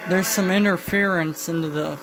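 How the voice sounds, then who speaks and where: A woman talking casually through a hands-on repair demonstration, halting and thinking aloud as she goes. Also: on the low side, loud music behind the voice. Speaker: vintage electronics repair hobbyist. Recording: noisy home video, steady background noise. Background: clear background noise.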